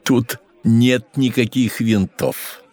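A man's voice: mid-range voice, takes it slowly, darkish tone, no room sound, faint music behind, lightly acted. An actor playing a proud, courteous seventeenth-century scientist explaining his experiment theatrically in an educational audio drama. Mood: proud, explanatory.

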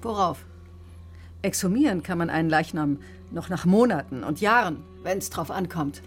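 An actress playing an articulate, quietly insistent woman doctor in a radio drama, precise and questioning. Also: fairly low pitch, animated, moderate pace, soft background music, natural voice. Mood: probing. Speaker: doctor accused in a criminal case. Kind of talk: German radio drama acting.

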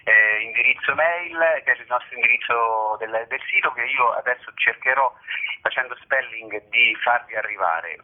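A man's voice: medium pitch, moderate pace. A man being interviewed as a festival director, talking spontaneously and effusively, rambling and repeating words for emphasis. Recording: muffled, narrow phone line.

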